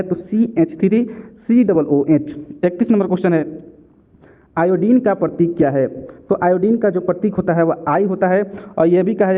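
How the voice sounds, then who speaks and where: A man teaching exam questions and answers in a didactic way, repeating key points as reminders. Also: high-pitched. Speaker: exam-prep chemistry teacher. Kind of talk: question-and-answer lesson narration. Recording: narrow, tinny phone-like sound.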